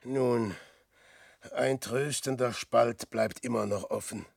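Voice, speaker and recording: A gravelly, despairing old wanderer. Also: male voice, mid-range voice, steady tone, no echo, studio quality. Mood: musing. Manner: slow, declamatory verse, swinging from loud outbursts to hushed murmurs. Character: Peer, an aging despairing wanderer facing death. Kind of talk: classic German radio play acting.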